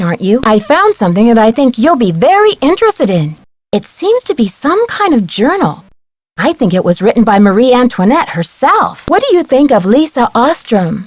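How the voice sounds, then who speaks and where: A woman's voice: fairly high pitch, no room sound, clean studio sound. A woman playing a polite, inquisitive young female amateur detective in scripted video game dialogue. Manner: courteous, inquisitive, clearly enunciated.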